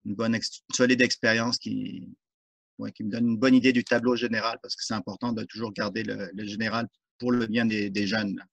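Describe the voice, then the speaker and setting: Referee, measured and orderly. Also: male voice, fairly low pitch, level tone, creaky, fairly bright tone, breathy edge, no echo. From France. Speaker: guest, soccer referee and volunteer. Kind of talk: interview answers.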